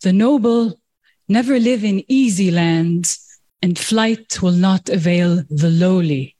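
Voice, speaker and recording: A woman's voice: medium pitch, a little mellow. A woman professor and festival organizer giving an impassioned, declamatory speech. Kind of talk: formal speech with recited poetry.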